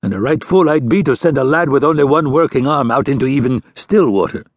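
A man's voice: medium pitch, fairly quick, no echo, studio quality, voice pushed up, lightly acted. A man voicing a whiny young fisherman who complains. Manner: whining, complaining.

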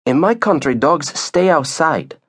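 A man's voice: fairly high pitch, lively intonation, measured pace, breathy edge, voice pushed up, exaggerated voice. A man voicing a blunt, disapproving woman with a scolding manner, as in an audiobook. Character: blunt, disapproving woman who dislikes dogs.

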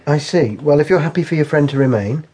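An actor playing a courteous British detective sergeant, formal and measured in a naturalistic radio drama. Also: male voice, a little high, expressive, darkish tone, slight background noise, lightly acted. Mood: calm, official.

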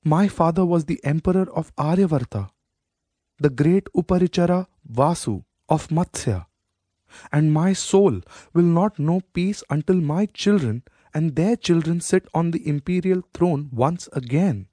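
A man reading a story voices a proud, vengeful old queen, dying, with a feeble hoarse rasp. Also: on the high side, lively intonation, a little slow, dark tone, dry recording, clean studio sound, pitched above the performer's own voice. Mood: commanding.

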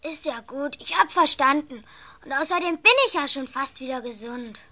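High, bright, stubborn little girl. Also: moderate pace, no echo. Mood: grumpy. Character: young girl sick in bed, curious and stubborn. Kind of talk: children's audio play acting.

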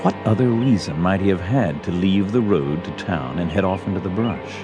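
A man's voice: low voice, lively intonation, moderate pace. A man narrating a Western story as a scripted audio drama. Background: music.

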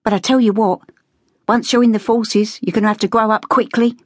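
Determined young woman, nineteen, outspoken, read by a woman narrator, high and dry. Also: steady tone, a little fast, no echo, lightly acted. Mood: scolding. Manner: insistent, raised pitch.

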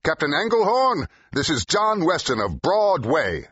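Impatient, forceful film director. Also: male voice, a little high, wildly swooping pitch, unhurried, slightly rough, dry recording, full character voice. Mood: brisk, commanding. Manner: snappy, commanding. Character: impatient, zestful film director in his thirties. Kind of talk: full-cast audiobook acting. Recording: dull, slightly muffled audio.

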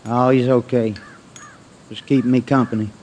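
An actor playing a gruff, suspicious, hardworking farm husband in an audio drama, with a folksy, plainspoken manner. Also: male voice, medium pitch, flat delivery, normal speed, a little mellow, natural voice. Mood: weary, hopeful. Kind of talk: naturalistic audio drama acting. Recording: noisy outdoor farm ambience with crows.

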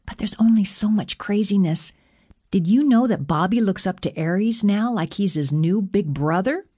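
A woman's voice: medium pitch, normal speed, dark tone, slightly rough, no echo, studio quality, voice pushed up, lightly acted. A woman voicing a frustrated, emotional young goddess in an audiobook, with an emphatic, accusing way of talking. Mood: exasperated. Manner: exasperated, emphatic.

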